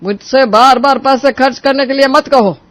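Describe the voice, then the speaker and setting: Very high-voiced stubborn old father-in-law acted by a man. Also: normal speed, fairly bright tone, no echo. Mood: stern, irritated. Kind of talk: animated Hindi moral story dialogue.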